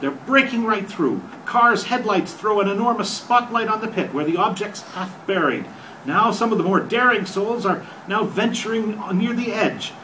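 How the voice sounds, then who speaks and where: An excited radio reporter, acted by an older man in an old radio play, high and bright-voiced. He narrates urgently and formally as an eyewitness, picked up from a distance with some noise. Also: normal speed. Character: excited male radio reporter describing a crash scene live. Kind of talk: 1930s radio drama acting, read from a script. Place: hotel conference room, panel table. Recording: noisy phone-camera recording from a distance.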